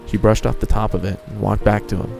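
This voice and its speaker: A man's voice: fairly low pitch, flat delivery, fast talker, creaky, over music. A man reading scripted fan fiction narration as the storyteller.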